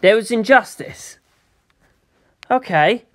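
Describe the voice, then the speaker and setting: Story narrator, mid-pitched voice, dramatic scripted reading, audiobook. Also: lively intonation, takes it slowly.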